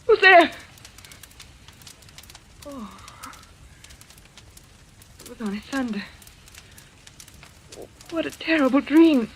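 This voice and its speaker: A high-pitched, fearful young woman in an old radio thriller. She is breathless and halting, full of melodramatic dread. The recording is noisy. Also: expressive, fairly bright tone, full character voice. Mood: terrified. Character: terrified, nervous young woman haunted by nightmares. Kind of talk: old-time radio drama acting.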